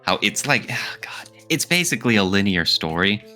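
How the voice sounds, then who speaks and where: A man's voice: lowish voice, big pitch swings, moderate pace, bright, forceful tone, soft background music. A man co-hosting a podcast in casual debate style, speaking emphatically and arguing playfully. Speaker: podcast co-host.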